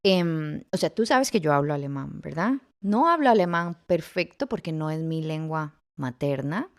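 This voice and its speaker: A woman with a fairly low voice hosts a podcast interview. She asks her questions patiently and steps in with the missing word when the talk stalls. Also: expressive, moderate pace. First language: Spanish. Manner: gently prompting, suggesting words. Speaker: podcast host, mother.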